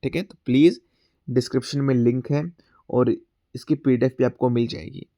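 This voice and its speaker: A male current affairs teacher with a sore throat, going through exam quiz questions. He mixes Hindi and English, often says "theek hai", and reads the English question text slowly. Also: medium pitch, fairly even intonation, dark tone, no room sound, studio quality. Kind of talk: exam-prep class, explaining quiz questions.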